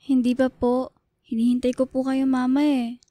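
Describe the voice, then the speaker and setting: A woman's voice: sits high, level tone, normal speed, mellow, no echo, clean studio sound, lightly acted. A woman playing a polite, resigned young student daughter in a radio drama, speaking in a subdued way.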